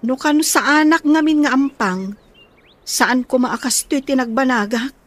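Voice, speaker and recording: A woman's voice: high-pitched, normal speed. An actress playing a fed-up, complaining young mother and wife in an Ilocano radio drama. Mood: frustrated. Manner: exasperated, complaining. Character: fed-up young mother, nagging her jobless husband.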